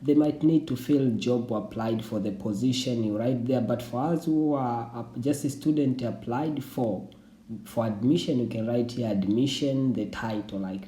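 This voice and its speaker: Tanzanian man with a dark, roomy voice. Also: mid-range voice. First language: Swahili. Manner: loose, repetitive explaining with restarts. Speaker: YouTube channel host. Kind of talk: screen-share tutorial. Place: indoor room. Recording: roomy echo.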